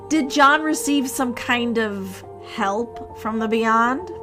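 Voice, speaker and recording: A woman podcast host telling a scripted ghost story in a theatrical, measured way with dramatic pauses. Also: fairly high pitch. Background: music.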